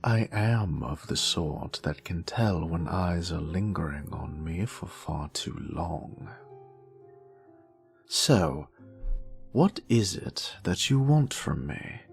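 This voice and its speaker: A man's voice: sits low, expressive, takes it slowly, gravelly, faint music behind, full character voice. A man voicing a grumpy, sassy British wizard, a haughty former professor with theatrical, dryly sarcastic delivery in an audio roleplay. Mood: haughty, suspicious. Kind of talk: scripted character monologue, audio roleplay.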